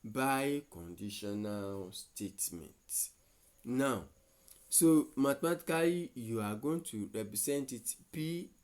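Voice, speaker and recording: A man teaching a lesson to students, deliberate and repeating statements for emphasis. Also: medium pitch, a little mellow. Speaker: mathematics teacher.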